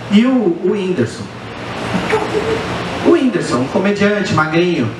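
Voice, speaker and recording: A man's voice: sits high, recorded from a distance. A middle-aged man with a Brazilian accent doing colloquial, crude stand-up storytelling into a handheld microphone on a small stage. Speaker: stand-up comedian. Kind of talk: stand-up comedy storytelling to a live audience. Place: small indoor bar stage. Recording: very echoey live venue with faint background noise.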